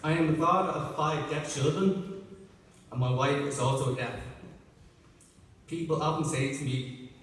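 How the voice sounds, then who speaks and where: A middle-aged Irish man giving a prepared ceremony speech as a graduate, with a slow, deliberate delivery. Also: a little high, crowd noise, noisy background. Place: large ceremony hall. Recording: echoey hall from far off.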